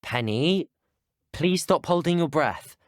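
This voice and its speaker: Young wizard student, earnest, well-spoken, video game lines, animated pitch, dry close sound. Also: male voice, slow pace, dry recording. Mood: concerned, earnest. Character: polite, curious young male student wizard. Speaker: player character in a video game.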